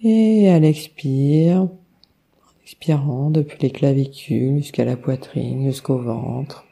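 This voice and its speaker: A woman leading a guided meditation, giving calm, soothing instructions with long unhurried pauses. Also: rumbling low, gravelly. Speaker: yoga nidra meditation guide.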